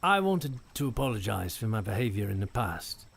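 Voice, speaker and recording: A man's voice: lowish voice, very animated, creaky, breathy edge, heavily acted. A man playing a courteous, humble knight in a fantasy audio drama, speaking formally and with deference. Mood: apologetic. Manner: formal, deferential, slightly halting. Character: courteous, contrite knight addressing a princess.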